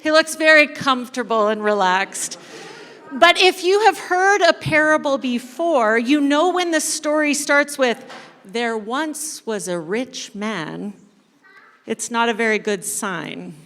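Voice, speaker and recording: Female, higher-pitched, unhurried church storyteller with playful delivery and dramatic pauses, heard in a reverberant hall. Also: expressive, a bit bright. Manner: playful, addresses the audience directly, dramatic pauses. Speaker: kids ministry coordinator leading a church service. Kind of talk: interactive storytelling sermon to a congregation. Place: church hall, speaking to a congregation. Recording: echoey hall with long reverb.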